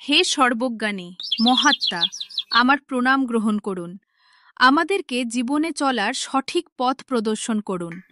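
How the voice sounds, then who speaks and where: A woman's voice: sits high, bright, forceful tone, heavily acted. A woman voicing a devout village woman in a cartoon, earnest and reverent as she pleads. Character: devout village woman begging a sage for guidance. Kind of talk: scripted cartoon dialogue.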